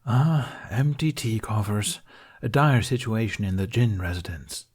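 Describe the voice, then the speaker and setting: A man plays an older warrior-monk master from a storybook, in a lowish voice. He is kindly and wry, teasing drily in measured phrases. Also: lively intonation, a little mellow, breathy edge. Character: older male Jedi master, wry, kindly, bearded mentor. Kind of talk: audiobook character dialogue.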